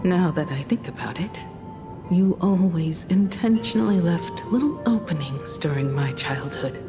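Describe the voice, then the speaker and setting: Low, serious young heroine. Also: female voice, lively intonation, unhurried. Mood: accusing. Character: serious young woman confronting her deceiving father. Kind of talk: dramatic video game voice acting. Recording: music under the voice. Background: music.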